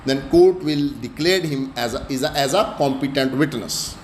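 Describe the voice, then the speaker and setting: A man's voice: mid-range voice, normal speed. A man with a Pakistani accent gives an explanatory law lecture, emphatically repeating his key phrases. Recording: echoey room with faint background noise.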